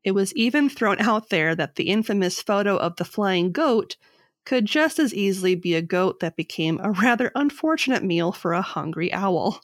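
A woman in her thirties co-hosting a casual podcast, reading her notes and chatting, with a slightly raspy voice. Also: medium pitch, expressive, normal speed, dry recording, clean studio sound. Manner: reads notes aloud, says her voice is getting raspy. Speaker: podcast co-host.

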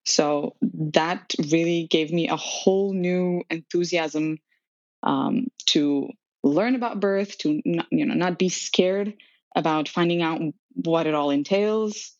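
A woman's voice: lowish voice, slow, no room sound. A woman in her thirties from Serbia, telling her story as a podcast guest in a chatty way, with many ums and laughs. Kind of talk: conversational storytelling. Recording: dry, dull lo-fi sound.

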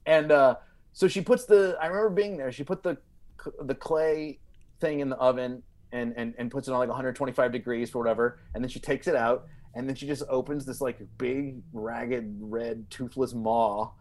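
A man's voice: mid-range voice, steady tone, moderate pace, darkish tone. A man telling comic anecdotes as a podcast guest, casually and emphatically, often repeating himself as he builds the story.

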